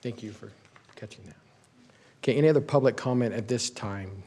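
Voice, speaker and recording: A man's voice: mid-range voice, brisk, a little mellow, in a large hall. A man chairing a public meeting, speaking in informal procedural talk from a council chamber.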